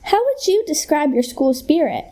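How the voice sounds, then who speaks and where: Spirited schoolkid, played by a young woman: high, mellow voice, cheerleader pep, radio comedy. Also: very animated, normal speed. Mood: peppy, chanting. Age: young adult. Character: peppy, chanting school-spirit kid questioning the candidates. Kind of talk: comic radio play acting.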